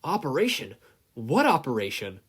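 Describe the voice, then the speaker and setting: A man's voice: mid-range voice, huge pitch swings, moderate pace, a bit bright, husky, voice pushed up, full character voice. A man voicing a desperate middle-aged retired hero in an urgent, indignant manner, reading a story aloud. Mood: alarmed, demanding.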